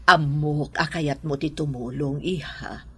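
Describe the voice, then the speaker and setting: A woman playing a caring mother and businesswoman, speaking gently in a radio drama. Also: low voice, steady tone, measured pace, husky, heavily acted. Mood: gentle.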